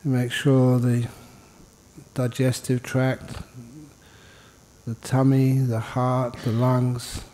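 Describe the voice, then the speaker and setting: Male meditation teacher, medium pitch, soft-edged mellow tone; informal, unhurried guidance with light humour. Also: in a large hall. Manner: unhurried informal guidance with pauses, gently humorous. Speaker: Buddhist monk, meditation teacher. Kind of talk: guided meditation. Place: indoor meditation hall.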